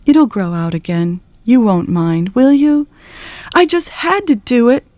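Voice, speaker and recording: Woman voicing an anxious, pleading young wife, lifted pitch. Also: lively intonation, unhurried, darkish tone, no room sound. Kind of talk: storybook audio reading.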